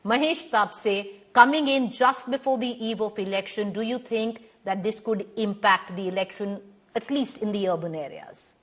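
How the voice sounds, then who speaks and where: A woman with an Indian English accent moderating a televised panel debate, measured and careful, often hedging with "you know". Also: medium pitch. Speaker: news anchor.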